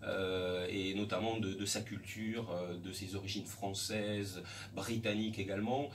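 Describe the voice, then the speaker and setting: Measured, hesitant middle-aged man, distant mic. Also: deep voice, fairly even intonation. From France. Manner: measured, hesitant with many restarts. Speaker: school principal. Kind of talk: radio interview. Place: radio studio. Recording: distant mic with faint background noise.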